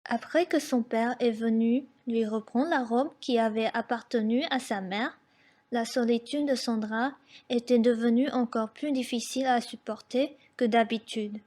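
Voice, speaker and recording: A woman's voice: a little high, normal speed, darkish tone. A woman reading scripted literary narration for a radio drama.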